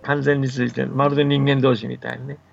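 Older man, very gravelly, explaining patiently. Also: medium pitch, little pitch movement, fast talker, faint music behind. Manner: explains patiently, often seeking agreement. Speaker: folktale scholar and storyteller. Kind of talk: casual explanatory radio talk about folktales. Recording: dull band-limited audio, faint background noise.

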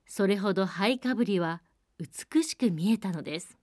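A woman telling a fairy tale as a radio storyteller, speaking in a measured and polite way. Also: medium pitch, animated, a little mellow, no echo, studio quality. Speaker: storyteller and programme co-host.

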